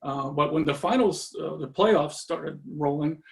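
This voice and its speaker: A man from Norway chatting as a guest on a video-call interview, relaxed and full of fillers, trailing off often. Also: a little high, unhurried, creaky. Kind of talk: casual conversation.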